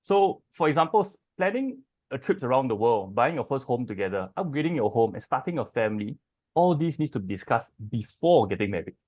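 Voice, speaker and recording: A man giving casual, lively comments as a speaker on a webinar. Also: medium pitch, fairly quick, no room sound.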